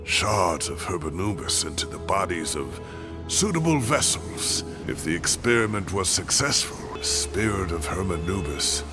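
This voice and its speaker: An actor playing a grave, guilt-laden elderly scholar in a video game, with a gravelly voice and halting, weighty storytelling. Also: male voice, deep voice, very animated, music in the background. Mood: grave, regretful. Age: older.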